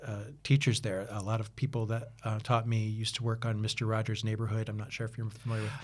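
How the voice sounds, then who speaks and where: A man's voice: lowish voice, level tone, fast. Man, 30s, chatty podcast guest, rambling storyteller. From United States. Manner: chatty, rambling with many restarts and fillers, laughs easily. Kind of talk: casual interview chat.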